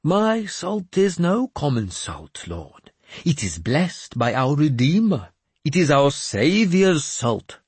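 A high, slow mad bishop. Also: male voice, very animated, husky, dry recording, heavily acted. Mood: sly. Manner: high, slow, sing-song preaching delivery. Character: mad, sly, lofty self-proclaimed male bishop.

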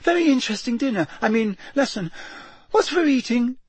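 A man voicing a frightened, shy teenage schoolboy who gabbles in an unnaturally high voice. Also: animated, fairly quick, slightly rough, no room sound, exaggerated voice. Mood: shaken babbling.

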